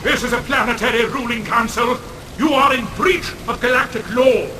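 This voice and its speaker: A man's voice: very high-pitched, normal speed, sharp tone, reverberant, soft background music. A man playing an outraged, defiant council member in a video game cutscene, with theatrical, oratorical delivery. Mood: indignant, defiant.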